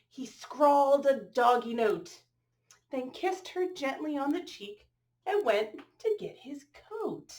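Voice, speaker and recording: A woman reading a storybook aloud to young children, expressively drawing out phrases and pausing to ask them questions. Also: high voice, a little mellow. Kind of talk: storybook read-aloud with asides to young listeners.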